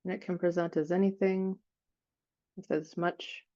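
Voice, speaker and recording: A woman leading an improvised guided meditation, speaking in gentle, unhurried phrases with frequent pauses. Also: fairly low pitch, fairly even intonation, muffled recording.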